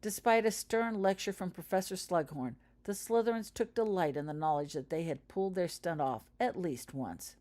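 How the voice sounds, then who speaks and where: A woman's voice: lowish voice, moderate pace, hardly any pauses, darkish tone. A woman reading her own story aloud as scripted audiobook-style narration. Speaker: author reading own fan fiction aloud.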